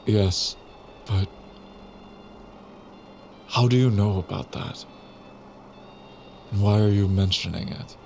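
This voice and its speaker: An actor playing a suspicious East military captain, deliberate and authoritative in an audio drama. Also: male voice, fairly low pitch, gravelly, mellow. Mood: probing, guarded. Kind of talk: cinematic audio drama acting. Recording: faint vehicle noise behind.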